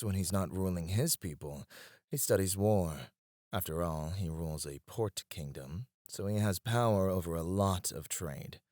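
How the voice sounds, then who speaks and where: A man's voice: low-pitched, no room sound, clean studio sound. A man playing a devoted, teasing royal advisor in a romantic ASMR roleplay, speaking in a formal, courtly way. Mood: teasing, persuasive.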